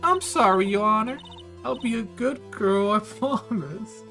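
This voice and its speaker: A streamer putting on a high, coy girlish witness. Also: pushed and bright, soft background music. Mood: sugary pouting. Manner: cutesy, wheedling, drawn-out protests. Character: coy, girlish young female witness, sugary and evasive.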